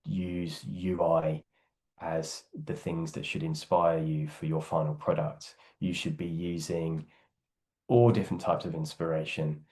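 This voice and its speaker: Deep-voiced man giving a webinar talk. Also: little pitch movement, measured pace, darkish tone. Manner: loose, many fillers and restarts. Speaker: guest speaker. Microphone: video call.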